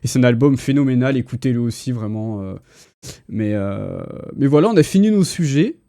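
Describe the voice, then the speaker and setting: A man's voice: medium pitch, expressive, fairly quick, dark tone, slight background noise. A man, hosting a casual, slangy pop culture livestream chat with enthusiasm. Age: 30s. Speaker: host. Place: indoor livestream.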